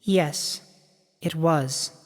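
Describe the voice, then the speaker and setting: A woman voicing a stern, regal female pony ruler in a fan-made radio drama, speaking in a measured, commanding way. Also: sits low. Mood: cool. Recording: long hall reverb.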